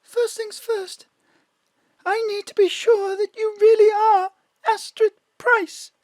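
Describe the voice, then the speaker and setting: A glamorous star in disguise, suspicious and tearful, as a performer plays her. The voice is extremely high and is recorded dry and close. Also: little pitch movement, slow pace, a little mellow, dry recording. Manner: suspicious and tense. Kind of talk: audio drama acting.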